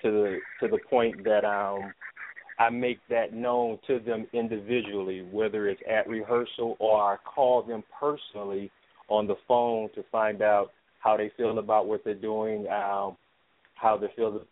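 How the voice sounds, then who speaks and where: A man answering as an interview guest, conversational, measured and sincere. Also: on the low side, flat delivery. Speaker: interview guest from a stage production. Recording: muffled, narrow phone-like line.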